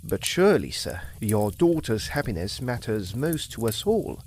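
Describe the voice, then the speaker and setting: A man's voice: mid-range voice, very animated, normal speed, a little mellow, a little hiss or hum, close to an everyday voice. A man playing a polite, earnest young farmer asking a father for his daughter's hand, in an old-time radio drama. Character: earnest young farmer suitor, Walter Markham.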